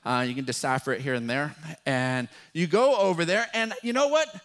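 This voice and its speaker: A man with a West Texas drawl preaching a sermon as pastor, folksy and animated, with a touch of humor. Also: fairly high pitch, moderate pace.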